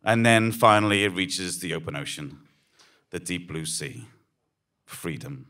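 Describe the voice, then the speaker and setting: Deep, bright-voiced dramatic male storyteller. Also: steady tone, unhurried. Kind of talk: dramatic scripted narration of an absurd tale, read live. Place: on a small theatre stage before a seated audience. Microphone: stand microphone.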